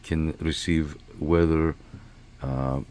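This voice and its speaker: A man's voice: very deep voice, fairly even intonation, slow, darkish tone, a little hiss or hum. A man hosting a radio hobby channel, chatting casually and rambling with lots of fillers. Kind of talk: casual show-and-tell.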